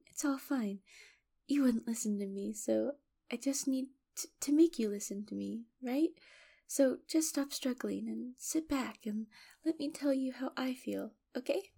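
Woman acting as a soft, mellow, obsessive kidnapper woman. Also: on the high side, a little slow. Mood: coaxing, soothing. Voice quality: soft, often dropping into breathy whispers. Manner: coaxing, cooing, condescendingly affectionate. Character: obsessive possessive kidnapper woman, sweetly menacing, infatuated. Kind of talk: intimate first-person audio roleplay.

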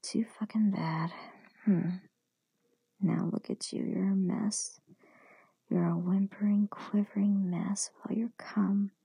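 Adult woman for intimate audio roleplay: very husky, breathy whisper with fry, commanding and teasing delivery. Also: medium pitch, little pitch movement, slow, mellow, dry recording, low-quality recording. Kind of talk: whispered erotic audio roleplay, addressing the listener.

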